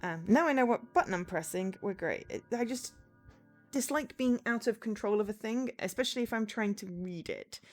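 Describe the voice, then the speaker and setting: A woman's voice: mid-range voice, expressive, normal speed, faint music behind. A woman game streamer chatting live and reading game text aloud, breaking off for exasperated asides.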